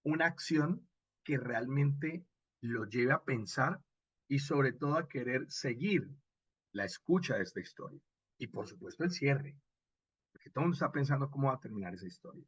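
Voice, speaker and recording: A man with a husky, mid-pitched voice teaches in an informal online lecture. He is expressive and didactic, punctuating points with short check questions. The sound is dry and somewhat dull, like a lo-fi online session. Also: normal speed, no echo. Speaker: radio drama instructor.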